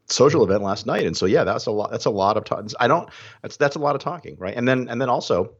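A man co-hosting a podcast, unhurried and thinking aloud in casual chat. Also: mid-range voice, lively intonation. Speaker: podcast co-host, university academic. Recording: dull lo-fi sound.

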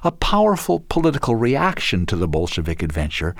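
A man reading scripted documentary narration in a measured storytelling delivery. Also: on the low side, wildly swooping pitch, creaky, no room sound, clean studio sound.

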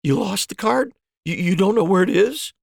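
A man's voice: high voice, lively intonation, normal speed, vocal fry, dry recording, clean studio sound, voice pushed up, exaggerated voice. A man voicing an anxious, literal-minded male spectator, hesitant and worried, in an audio story. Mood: panicked. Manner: hesitant, worried, stammering repeats. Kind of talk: acted dialogue in an audio short story.

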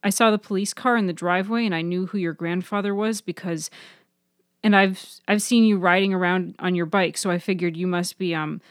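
A woman's voice: on the low side, fairly even intonation, a little fast, no echo, studio quality, close to an everyday voice. Woman as an awkward, stammering young girl. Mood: nervous. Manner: stammering, hesitant. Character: awkward, quiet young girl, nervous and halting.

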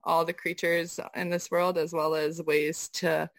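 A woman's voice: deep voice, little pitch movement, unhurried, dry recording. An American woman from Southern California answering interview questions as an artist guest, conversational and often searching for her words. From United States, mainly Southern California. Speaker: interview guest, designer and sculptor.

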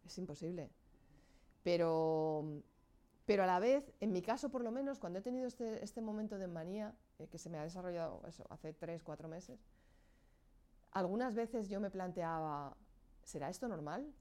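A woman speaking Spanish as a second language, telling her own story with frequent pauses and restarts. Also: lowish voice, animated, mellow. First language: not Spanish. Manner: hesitant, searching for words with frequent restarts. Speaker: guest sharing her experience. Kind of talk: candid personal account.

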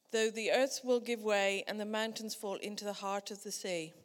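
A woman reading a psalm aloud in a church service. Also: mid-range voice. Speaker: scripture reader. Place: church hall. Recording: long church reverb.

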